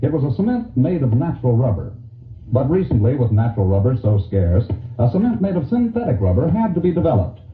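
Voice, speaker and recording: A man's voice: mid-range voice, sing-song, fast. A man announcing a 1940s radio commercial in a scripted sales style. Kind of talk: 1940s radio advertising read. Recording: tinny, echoey 1940s radio recording.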